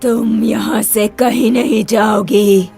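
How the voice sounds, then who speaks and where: A woman voicing a cold, menacing female ghost who speaks in a slow, drawn-out, threatening way. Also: on the high side, steady tone, gravelly, a little mellow, breathy edge, soft background music, full character voice.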